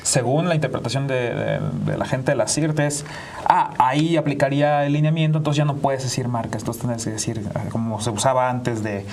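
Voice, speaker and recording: A man's voice: mid-range voice, fairly even intonation, a little fast, a little mellow. A man with a Mexican accent giving opinions as a panel guest, emphatic and repeating himself in informal discussion. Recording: slightly distant microphone, faint noise.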